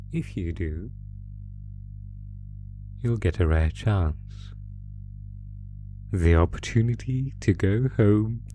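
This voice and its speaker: Sadistic monster played by a man, low-pitched and unhurried, taunting a captive with mock courtesy, horror audio drama over music. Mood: coaxing, mock-generous. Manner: slow, taunting, mock-polite, savoring pauses. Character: sadistic male monster gleefully toying with a captive. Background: musical score.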